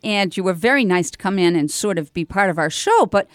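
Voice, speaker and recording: A woman's voice: medium pitch, animated, a little fast, no echo, clean studio sound. A woman hosting a live community radio show in an informal, rambling, effusive way, ad-libbing as she goes.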